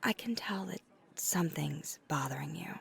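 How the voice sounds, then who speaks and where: A woman voicing a flirty, caring vampire in an intimate ASMR roleplay, soft-spoken and breathy, sometimes near a whisper, with halting hesitations. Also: sits low, full character voice. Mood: gentle, concerned. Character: flirty, caring female vampire on a dinner date.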